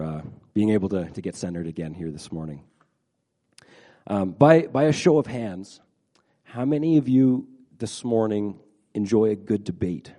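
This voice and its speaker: A middle-aged pastor preaching a casual sermon, a little nasal from a head cold, halting and repeating words with many fillers. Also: male voice, fairly low pitch, mellow.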